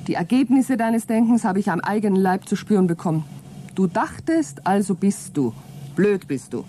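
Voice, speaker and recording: A woman's voice: mid-range voice, expressive, moderate pace, mellow, a little hiss or hum, natural voice. An actress playing a scornful, sarcastic female space crewmate in a radio play, mocking and scolding with contempt.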